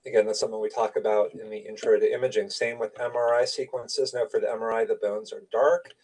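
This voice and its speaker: A man's voice: on the low side, flat delivery, fast talker, a little mellow, distant mic. A man giving an informal medical lecture, explaining slides in clipped, conversational phrases. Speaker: radiology lecturer.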